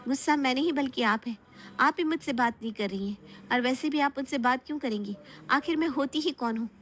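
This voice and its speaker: A woman's voice: high voice, brisk, a bit bright, soft background music, voice pushed up. A woman voicing a resentful young sister-in-law in a family story, indignant and reproachful. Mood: resentful, accusing. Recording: clear background noise.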